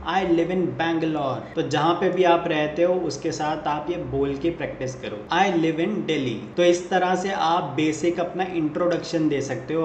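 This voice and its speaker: A man teaching basic English greetings, explaining step by step and saying each English model phrase slowly and clearly. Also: fairly high pitch. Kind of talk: step-by-step spoken lesson. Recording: echoey room with faint ambient noise.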